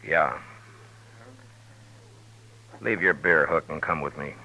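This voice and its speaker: An actor playing a steady, stern middle-aged frontier marshal in a 1950s radio drama, calm, firm and measured. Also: male voice, a bass voice, heavily acted. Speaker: lead and narrator. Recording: dull old radio broadcast with music.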